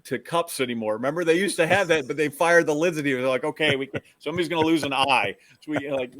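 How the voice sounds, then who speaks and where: An American man co-hosting a sports show, telling stories in a casual, halting way and often interrupting himself. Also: on the high side, fairly bright tone, no echo. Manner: conversational, halting, self-interrupting storytelling.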